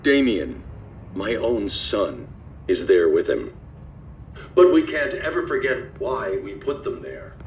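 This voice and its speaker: An actor playing a somber, vigilant middle-aged father in a video game, giving a measured, solemn monologue. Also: lowish voice, sing-song, a little hiss or hum. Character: somber, vigilant father looking back on fallen regime. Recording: dull muffled sound, music behind.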